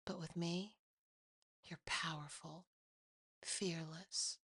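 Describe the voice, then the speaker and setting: A woman voicing a sinister, possessive demon in a hushed, hissing, taunting way for a horror ASMR story. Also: fairly low pitch, steady tone, breathy edge, no echo, clean studio sound, heavily acted. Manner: slow, taunting, seductively cruel.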